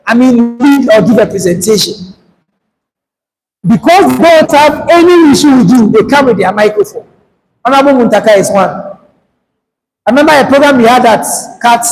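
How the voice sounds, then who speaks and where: Male trainer, very high and light voice, direct and urging, lecture hall reverb. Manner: direct, coaching, urges the group to hurry. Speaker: public speaking trainer. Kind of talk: workshop lecture to students. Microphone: handheld microphone. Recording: long hall reverb, faint background noise.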